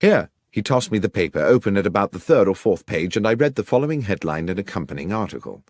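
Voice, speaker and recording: A man with a British accent narrating a scripted first-person story in a measured, dramatic manner. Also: deep voice, expressive, a little mellow, no echo, studio quality. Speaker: audiobook narrator.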